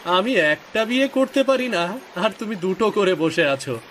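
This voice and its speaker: A man's voice: high voice, expressive, moderate pace, a bit bright, slight background noise. A man playing a concerned younger village fisherman in a cartoon story, inquisitive and chiding. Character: concerned unmarried younger fisherman, teasing and helpful. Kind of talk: scripted cartoon story acting. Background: music.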